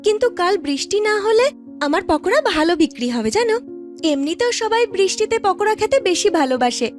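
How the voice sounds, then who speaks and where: A woman acts out a kind young street seller in a village cartoon. The voice is extremely high and swings up and down in an earnest, eager sing-song. Music plays behind it. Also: very animated, fairly quick. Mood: reassuring. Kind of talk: cartoon story character voice.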